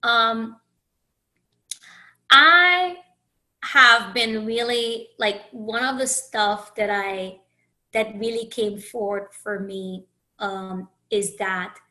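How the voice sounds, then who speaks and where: Woman from haiti hosting her podcast, bright voice, thinking out loud, lots of pauses and restarts. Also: medium pitch. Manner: earnest, searching, with frequent restarts and pauses. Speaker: podcast host and coach. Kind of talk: reflective solo podcast monologue.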